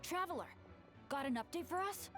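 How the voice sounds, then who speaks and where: A woman's voice: high voice, expressive, a little fast, a bit bright, soft background music. A woman voicing a plucky, eager, good-hearted young adventurer boy in an anime-style game. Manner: earnest, upbeat.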